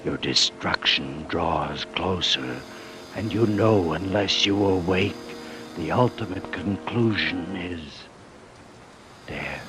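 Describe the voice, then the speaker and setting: A very low-voiced man intones a portentous old radio introduction. He speaks very slowly and ominously, with music strong beneath him on a vintage broadcast. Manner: slow, portentous.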